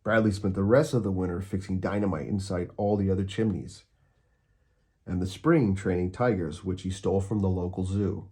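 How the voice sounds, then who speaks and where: A man narrating a children's storybook aloud, expressively and with deliberate, dramatic emphasis. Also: low-pitched, steady tone, dark tone.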